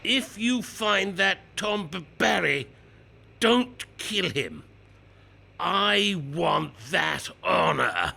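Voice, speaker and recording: A man's voice: high voice, very animated, extremely slow, creaky, pushed and bright, exaggerated voice. An actor playing a clipped, menacing British army major in a radio play, obedient to his general but out for revenge. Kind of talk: radio play acting, military radio exchange.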